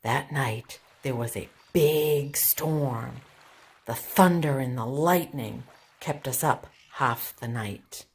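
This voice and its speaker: A woman with a low-pitched voice reads a picture book to young children. She takes her time, at a very unhurried pace. Her phrasing is deliberate and expressive, in the manner of a storytime teacher.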